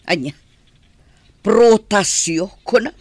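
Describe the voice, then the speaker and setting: Performer for a defensive, scornful in-law in a radio play; mid pitch, dry close sound. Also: animated, takes it slowly, darkish tone, no room sound. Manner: snappish, defensive. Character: sharp-tongued, evasive in-law parent. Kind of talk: amateur radio drama acting.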